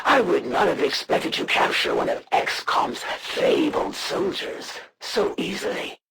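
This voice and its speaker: Casting: proud alien warrior villainess for a video game; slow, measured, imperious delivery, gravelly edge, cold menace. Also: female voice, fairly low pitch, very animated, noisy background, exaggerated voice. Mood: cold contempt. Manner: formal, imperious, menacing, deliberate pauses.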